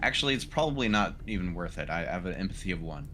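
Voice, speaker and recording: Low-pitched man talking through game choices. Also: sing-song, fast talker. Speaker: tabletop game player. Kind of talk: casual game talk. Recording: clear background noise on online call.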